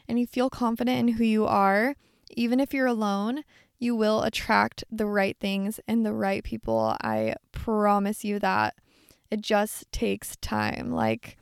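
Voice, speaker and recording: A woman in her twenties hosting a podcast alone, chatting in an effusive, rambling way, her voice a bit raspy. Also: a little high, no room sound, clean studio sound. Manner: chatty, effusive, lots of likes and little laughs. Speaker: podcast host. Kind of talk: casual solo podcast monologue.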